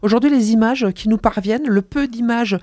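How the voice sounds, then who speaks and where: A woman hosting a studio interview, introducing guests briskly with frequent hesitations. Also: a little high, warm tone, no room sound. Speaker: radio interviewer.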